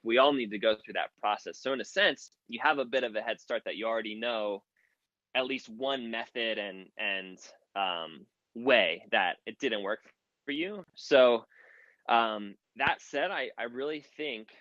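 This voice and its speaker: A man's voice: mid-range voice, a bit bright, dry recording. Earnest man, dry muffled audio. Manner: earnest, rambling with fillers like "like". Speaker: guest creator giving advice. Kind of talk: casual chat, audio room.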